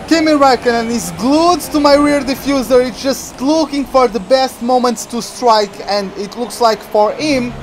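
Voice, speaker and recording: A man from Portugal, streaming a racing game and chatting excitedly through the action. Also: very high-pitched, a little slow, hardly any pauses, a little hiss or hum. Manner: chatty, reacts excitedly to the race. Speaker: gaming streamer. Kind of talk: live game commentary.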